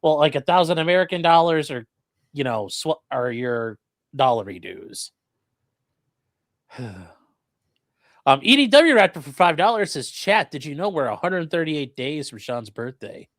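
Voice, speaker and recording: A man hosts a live stream in a very animated, up-and-down voice. He reads viewer questions and explains legal points casually, slipping in wry jokes. The sound is dry and close. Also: fairly high pitch, measured pace, pauses often, no room sound.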